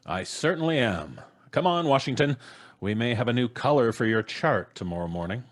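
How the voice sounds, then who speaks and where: A man's voice: medium pitch, big pitch swings, moderate pace, lightly acted. A middle-aged man playing a blustery, pompous, unflappable father who dismisses ghosts in an old-time radio drama. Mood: pompous, curious. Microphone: studio microphone on a video call.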